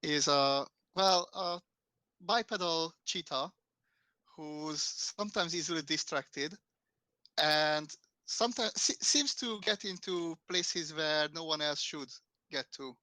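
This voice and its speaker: Bright, higher-voiced playful man. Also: slow pace, no room sound. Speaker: player. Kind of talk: casual character introduction.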